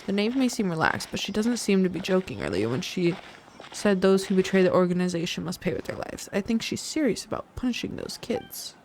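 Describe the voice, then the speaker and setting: A woman's voice: on the low side, sing-song, brisk, a little mellow. A woman streamer giving live gameplay commentary, playful and irreverent, blurting out her reactions. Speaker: VTuber game streamer. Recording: faint noise in livestream audio.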